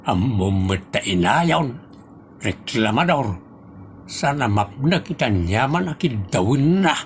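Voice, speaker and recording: An actor with an Ilocano accent playing a scolding, complaining family member in a comedy audio drama, full of drawn-out exclamations. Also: medium pitch, sing-song, slight background noise.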